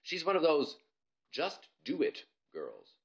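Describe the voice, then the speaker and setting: A man's voice: fairly high pitch, wildly swooping pitch, takes it slowly, vocal fry, dull audio. A man, an author, reading his children's story aloud in a theatrical, comic storytelling manner. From Canada.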